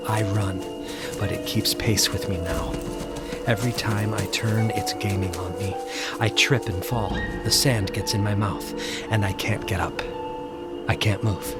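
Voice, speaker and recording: An actor playing a haunted, anxious guest in a supernatural audio drama, speaking in halting, short phrases with a dramatic score behind him. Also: male voice, on the low side, natural voice. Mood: terrified. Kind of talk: first-person audio drama narration with dialogue.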